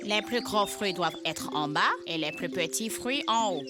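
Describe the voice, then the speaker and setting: Woman voicing a prim, fussy cartoon stickler, loud music. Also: medium pitch, expressive, normal speed, natural voice. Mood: prim, explaining. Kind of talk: dubbed children's educational cartoon.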